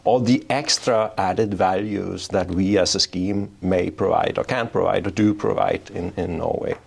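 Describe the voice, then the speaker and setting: Man from Denmark, middle-aged, gravelly, low-pitched, explaining payments, interview guest. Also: steady tone, normal speed. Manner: explanatory, self-restarting. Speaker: payments strategy executive, guest. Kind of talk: interview answers. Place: office in Oslo.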